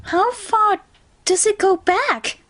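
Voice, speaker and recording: A woman's voice: very high voice, slow pace, fairly bright tone, heavily acted. A woman voicing a haughty yet insecure young female pony in a cartoon fan fiction, whiny and theatrical. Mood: astonished.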